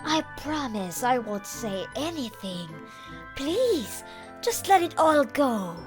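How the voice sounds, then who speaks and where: A woman's voice: high-pitched, sing-song, slow pace, music in the background, voice pushed up, heavily acted. A woman voicing a meddling, flustered older mother-in-law who wheedles, in a manga dub. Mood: pleading. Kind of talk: manga dub character acting.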